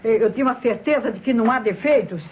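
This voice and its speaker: A woman's voice: a little high, a little fast, lightly acted. An actress playing an anxious but obliging boarding-house landlady in 1960s radio drama style. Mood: anxious, helpful. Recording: muffled, noisy old radio recording.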